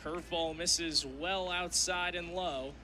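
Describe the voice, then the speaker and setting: Bright high-voiced man calling a live game. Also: steady tone, slow pace, slight background noise. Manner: clipped, descriptive phrasing. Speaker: play-by-play radio announcer. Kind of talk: live sports commentary.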